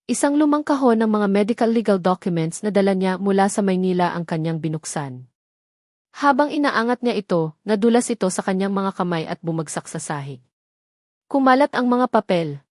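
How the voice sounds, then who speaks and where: A woman's voice: mid-range voice, lively intonation, a little fast, darkish tone, dry recording, studio quality. A woman with a Filipino accent reading a scripted dramatic story as its narrator.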